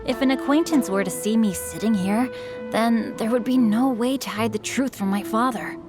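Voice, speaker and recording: A woman's voice: fairly high pitch, normal speed, over music, natural voice. An actress playing a polite, gracious young noblewoman in an anime-style video game, with a courteous, formal manner. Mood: gracious, confiding.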